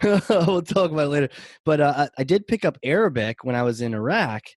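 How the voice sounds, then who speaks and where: A man's voice: a little high, animated, fairly quick, no room sound. Chatty man from New Hampshire. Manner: chatty storyteller, laughs often, restarts phrases. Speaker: podcast co-host. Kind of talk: casual chat.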